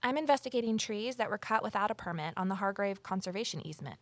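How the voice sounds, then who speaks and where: Animated, eager rookie woman sleuth played by a woman. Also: mid-range voice, quick pace, no echo, natural voice. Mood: inquisitive. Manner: earnest, apologetic, inquisitive. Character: eager, flustered amateur sleuth, rookie conservation officer. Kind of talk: naturalistic audio drama acting with first-person narration.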